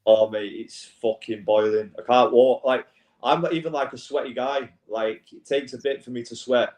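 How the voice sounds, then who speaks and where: A man's voice: medium pitch, fairly even intonation, normal speed, darkish tone. Laid-back man on a roomy call. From England. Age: 20s. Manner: laid-back, swearing, says mate a lot. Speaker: guest. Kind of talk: casual chat. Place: an apartment in Bangkok.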